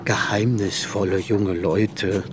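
Slow, dry, cynical middle-aged bachelor. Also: male voice, fairly low pitch, fairly even intonation, noisy background. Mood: bitter, offended. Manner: dry, formal, sardonic, deliberate phrasing. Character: cynical, eccentric middle-aged bachelor, misanthropic mill owner. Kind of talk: radio drama, letter read in character. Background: music.

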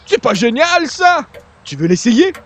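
A man playing an excitable, boastful gadget peddler in a radio comedy, with theatrical sales patter and a Quebec French accent. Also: very high-pitched, a little slow, heavily acted. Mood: salesman zeal. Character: excitable, boastful male gadget peddler pitching silly inventions. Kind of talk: old-time radio comedy acting.

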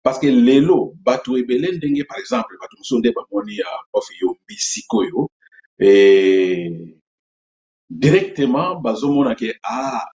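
A Congolese man hosting his own channel, explaining step by step in an unhurried way with many pauses and fillers. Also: medium pitch, darkish tone. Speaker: YouTube channel host. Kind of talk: explanatory talk to camera. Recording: echoey room, dull lo-fi sound.

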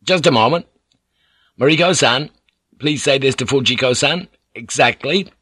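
A man's voice: mid-range voice, moderate pace, sharp tone, husky, dry recording, clean studio sound, pitched above the performer's own voice. A man playing a commanding foreign man among samurai in an audiobook story, with a firm, imperious, clipped manner. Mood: firm, urgent.

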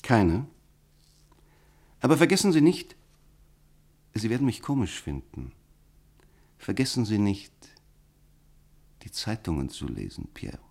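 A radical philosopher in a radio play, with a deep voice. He lectures with fervent, emphatic conviction and builds his points rhetorically, resolute and didactic. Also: sing-song, a little slow, long pauses, a little mellow, full character voice. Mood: insistent, fervent. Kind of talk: German radio play acting.

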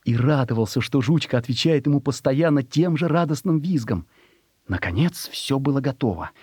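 A man narrating a children's story in an expressive, measured, suspenseful dramatic reading. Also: medium pitch, slightly rough, no room sound, studio quality.

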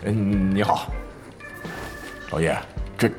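A man's voice: on the low side, slow, music in the background. A man playing a brash, nosy, somewhat sleazy gamer in an audio drama, effusive and slangy in his talk. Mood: curious, chummy.

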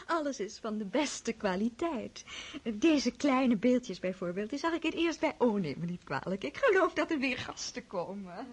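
A woman's voice: on the high side, animated, moderate pace, breathy edge. A woman playing a showy young hostess. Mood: polite. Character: proud hostess, the elder sister, polite and showy. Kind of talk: radio play acting.

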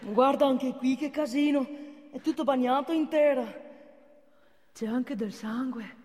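A woman's voice: high-pitched, a little slow, a little mellow, in a large hall. An actress playing a fussy, officious, pious female police officer in a comic theatre monologue. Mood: startled, scolding. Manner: officious, formal wording, fussy.

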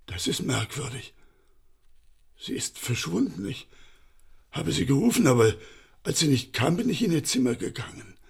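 A man's voice: a little high, big pitch swings, moderate pace, creaky, pauses often, breathy edge, heavily acted. An actor playing an old, frightened male servant in a radio play, agitated and stammering as he tells what happened. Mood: anxious. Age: older. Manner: agitated, tumbling nervous speech with stammers. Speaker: servant of a baron.

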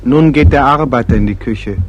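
A man narrating the stage action of a radio play in a measured, matter-of-fact way. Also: mid-range voice, highs cut off. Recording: noisy old radio recording.

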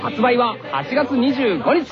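A man's voice: very high-pitched, sing-song, measured pace, full character voice. A man voicing a breezy, upbeat teenage tennis boy from an anime, reading a radio promo. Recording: dull lo-fi radio recording. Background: music.

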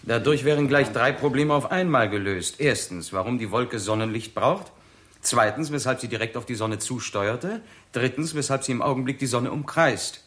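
An actor plays a male scientist in a sixties radio play. His voice sits at a middle pitch, and he questions in a courteous, measured, curious way. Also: a bit bright, natural voice. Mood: pondering. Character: polite, curious male scientist weighing a theory. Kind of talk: 1960s German radio drama acting.

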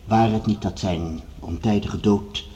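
An actor playing a commanding project leader, speaking formally and with measured authority in a radio drama. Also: male voice, fairly low pitch, warm tone, highs cut off, slight background noise, natural voice. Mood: formal, rallying. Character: commanding male project leader addressing assembled scientists. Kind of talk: radio drama acting, formal speech-making.

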